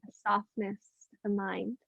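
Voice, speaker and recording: A woman teaching meditation, speaking gently and unhurriedly with long pauses in soothing guided instruction. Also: mid-range voice, level tone, gravelly, no echo, phone-quality sound.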